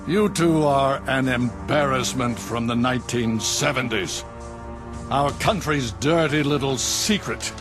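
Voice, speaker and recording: An actor with an American accent playing a cold, condescending government official in a video game cutscene, smug in manner. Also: male voice, medium pitch.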